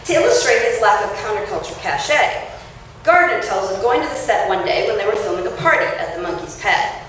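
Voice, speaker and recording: Woman, lively varied pitch, author's public reading, expressive phrasing, very roomy sound, distant mic. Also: a little fast. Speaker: author reading her essay at a book launch. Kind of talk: public reading of a written essay. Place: indoor launch-party event space. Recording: roomy echoing event space, distant mic, faint noise.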